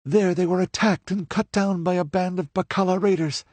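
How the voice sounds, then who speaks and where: A desperate young noblewoman, near tears, pleading in a high, strained voice that climbs well above a man's own register, as a male narrator puts her on. The voice is a little louder than the narration and a bit husky, recorded dry and close. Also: moderate pace, no room sound. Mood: grieving.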